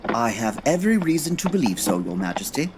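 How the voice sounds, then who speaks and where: An actor playing a haughty, brilliant gentleman detective in a comedy audio drama, speaking formally with precise diction. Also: medium pitch, very animated, a little fast, background noise, close to an everyday voice. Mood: formal, dry.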